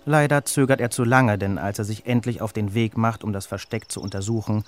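A man reading a children's story as its narrator, with an expressive storytelling delivery. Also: lowish voice, a little fast, a little hiss or hum.

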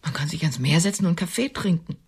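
Breathy, reserved older woman. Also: deep voice, quick pace, a little mellow, full character voice. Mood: dry, reserved. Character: older working woman, sober, reserved, secretly tender. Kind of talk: radio drama acting.

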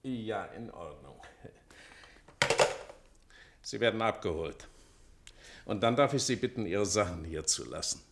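An actor playing a gruff, bossy gatekeeper with grim humour and a curt, officious manner in a radio play. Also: male voice, on the low side, lively intonation, a little slow, long pauses, noisy background. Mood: officious, sardonic. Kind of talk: German radio play acting.

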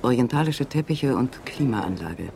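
A man narrating a crime radio play in a calm, measured way. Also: medium pitch, creaky, slight background noise. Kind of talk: scripted literary narration.

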